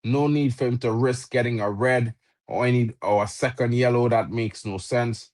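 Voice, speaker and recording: A man hosting a live football watch-along, reacting loudly to chances and chatting with guests, English not his first language. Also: mid-range voice, steady tone, moderate pace. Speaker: watch-along stream host.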